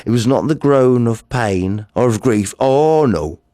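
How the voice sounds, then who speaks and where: A man's voice: mid-range voice, animated, no echo, studio quality, pitched above the performer's own voice, lightly acted. A man playing a nervous, obsessive madman confessing a murder, in a theatrical gothic horror monologue. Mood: brooding dread. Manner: theatrical, slow, swinging from hushed to agitated.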